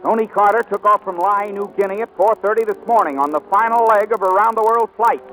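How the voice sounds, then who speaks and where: Brisk excited newsman, rapid delivery, old radio recording with faint music. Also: sits high, poor audio. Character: brisk, excited male newscaster reporting a flight. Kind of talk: 1940s radio newscast acting.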